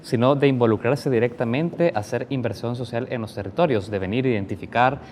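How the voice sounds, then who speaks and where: A man's voice: mid-range voice, fairly quick, darkish tone. A man speaking formally as a television interview co-host. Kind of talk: formal broadcast introduction and questioning. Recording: faint background noise.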